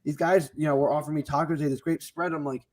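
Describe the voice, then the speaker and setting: Laid-back podcast co-host. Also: male voice, fairly high pitch, steady tone, rapid-fire. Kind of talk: casual podcast chat.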